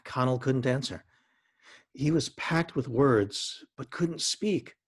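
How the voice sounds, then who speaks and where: Male narrator for literary fiction, mid-pitched and slightly rough-edged, expressive, lyrical phrasing. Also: slow.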